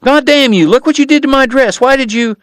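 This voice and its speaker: A man reading aloud and voicing a tearful, hot-tempered frontier woman in a shrill, pleading tone. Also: wildly swooping pitch, normal speed, a bit bright, no room sound, full character voice. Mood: furious.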